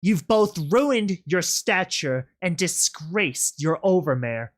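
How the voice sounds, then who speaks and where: A woman's voice: fairly low pitch, lively intonation, slow, voice pushed up. A woman voicing a cruel, arrogant female pegasus tyrant in a story, with a guttural, taunting, menacing delivery. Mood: cold anger. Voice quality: guttural, growling.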